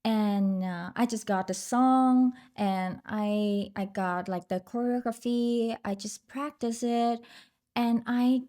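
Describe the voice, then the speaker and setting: A woman's voice: fairly high pitch, mellow. A woman from Vietnam with a Vietnamese accent, speaking English as a podcast guest, chatting casually with fillers and restarts. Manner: unhurried, with fillers and restarts, laughs often. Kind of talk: casual interview chat.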